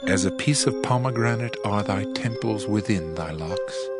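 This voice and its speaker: Deep-voiced adoring bridegroom, acted by a man. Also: normal speed, lightly acted. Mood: solemn adoration. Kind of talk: dramatized scripture reading. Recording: loud music under the voice.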